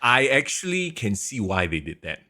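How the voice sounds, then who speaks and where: A man in his thirties talks through his reasoning on a casual podcast. His pitch swings widely and keeps his speech lively. He explains patiently, like he is laying out how things work. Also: normal speed. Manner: explains things at length, animated and chatty. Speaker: podcast co-host. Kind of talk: casual chat.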